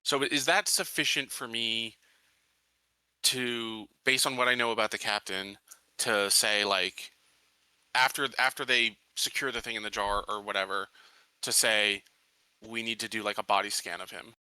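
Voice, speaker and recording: A man's voice: on the low side, steady tone, moderate pace, sharp tone, smooth, no room sound, low-quality recording. A man playing a tabletop roleplaying game, speaking casually as he puts questions at the table.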